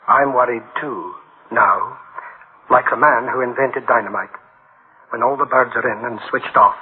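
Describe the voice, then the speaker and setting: A man's voice: mid-range voice, lightly acted. Brooding scientist, tinny radio sound, played by a man. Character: troubled male scientist, brooding over his dangerous invention. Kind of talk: dramatic radio play acting. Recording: tinny band-limited radio recording.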